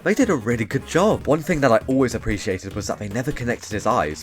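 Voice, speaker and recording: A man hosting a scripted comedic video essay, reviewing films with sarcastic, wry remarks and casual swearing. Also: on the low side. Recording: music behind, roomy echo. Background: background music.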